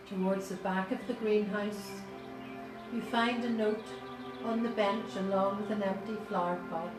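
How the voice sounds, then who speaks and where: A woman reading a guided meditation in a measured way, pausing between short phrases. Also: mid-range voice. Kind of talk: slow guided meditation narration. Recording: roomy echo, distant voice, loud music. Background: music.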